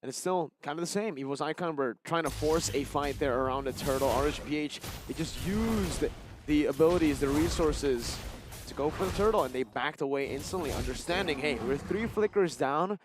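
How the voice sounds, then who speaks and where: A man's voice: fairly high pitch, fairly quick, noisy background. A man giving live play-by-play and analysis of an esports match in punchy, clipped phrases. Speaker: esports shoutcaster.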